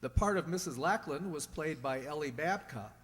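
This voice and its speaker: A male host announces in a scripted, old-time radio manner. His voice sits a little high for a man and carries the long reverb of a hall. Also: lively intonation, moderate pace, fairly bright tone.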